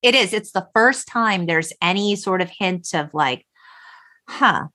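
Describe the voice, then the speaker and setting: A woman's voice: medium pitch, expressive, a little slow, sharp tone. A woman hosting a podcast, recapping a film conversationally and acting out bits of dialogue as she retells it. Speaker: podcast host.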